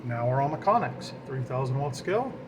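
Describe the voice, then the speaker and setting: A man's voice: mid-range voice, brisk. A man giving an informal hands-on equipment demonstration, explaining as he goes and partly talking to himself while he works. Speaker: radio hobbyist demonstrating equipment. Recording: clear steady background equipment noise. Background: fan and equipment noise.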